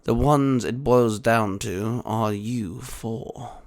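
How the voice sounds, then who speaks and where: A man voicing a calm, grave mentor of heroes in an audiobook, speaking in a measured, emphatic way. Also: mid-range voice. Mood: earnest. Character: calm, grave male mentor guarding the multiverse. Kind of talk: dramatic audiobook character acting.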